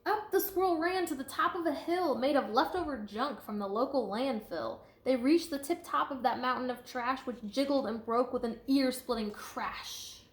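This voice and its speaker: Echoey, higher-voiced woman reading a storybook. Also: normal speed, few pauses. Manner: expressive, emphatic reading. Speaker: storybook reader. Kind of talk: children's picture book read-aloud with asides to listeners. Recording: echoey room.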